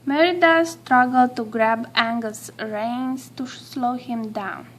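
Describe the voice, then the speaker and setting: Lady w a high voice reading a kids story out loud, really slow and expressive. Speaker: storybook reader. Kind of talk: read-aloud children's story narration.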